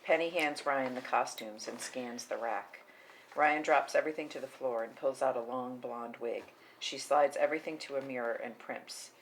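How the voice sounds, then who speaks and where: A woman with an extremely low-pitched voice narrates screenplay directions at a table read. Her delivery is scripted narration. There is faint noise in the recording. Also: normal speed, rough recording. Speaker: narrator reading stage directions.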